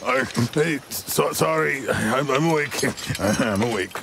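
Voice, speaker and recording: Big easygoing frontier trapper, gravelly, unhurried, lively pitch, Western game. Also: male voice, background noise. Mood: sheepish. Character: big, easygoing, gruff male trapper in the Old West. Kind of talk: video game cutscene acting. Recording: loud music under the dialogue.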